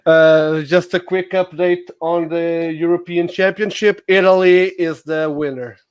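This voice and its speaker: A man's voice: on the high side, fairly even intonation, measured pace, clear, resonant tone, dry recording. A man with a Portuguese accent, casually hosting a livestream chat with a guest and fans. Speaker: livestream host.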